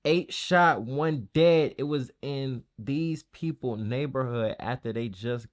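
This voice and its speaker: A man's voice: medium pitch, takes it slowly. A man hosting a reaction video, commenting casually and bluntly in heavy slang and repeating his phrases in disbelief.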